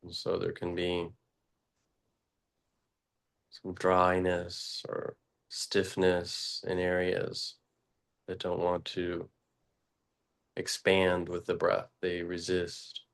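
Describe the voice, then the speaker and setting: Slow, flat, low-voiced man guiding meditation. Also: gravelly. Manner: slow, halting, with long pauses and fillers. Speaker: meditation teacher. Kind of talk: guided meditation.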